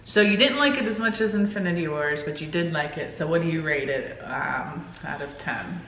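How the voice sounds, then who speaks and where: A woman's voice: low-pitched, moderate pace, fairly bright tone. A middle-aged woman co-hosting a casual movie review conversation. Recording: echoey room with faint noise.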